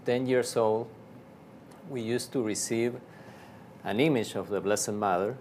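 Low-voiced Argentine priest, halting speech. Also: male voice, darkish tone, slight background noise. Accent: Argentine Spanish. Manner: halting, many fillers and restarts. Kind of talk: casual interview storytelling.

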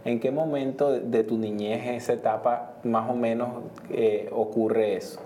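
A man interviewer asks long, halting questions, stopping to search for words. His voice is mellow and mid-pitched, recorded in a roomy space with faint noise. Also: steady tone.

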